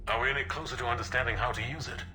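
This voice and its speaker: An actor playing a weary, dogged older military officer in a video game, grim and deliberate in delivery. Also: male voice, mid-range voice, steady tone, bright, forceful tone. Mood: grim, wary. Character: weary, battle-hardened older male resistance officer, dogged.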